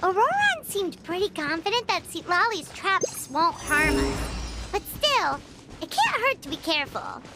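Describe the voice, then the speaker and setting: A woman voicing a small, childlike fairy companion in a video game, excitable, curious and frank, given to exclamations and questions. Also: very high voice, unhurried, exaggerated voice. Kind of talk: animated video game voice acting. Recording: loud music under the voice.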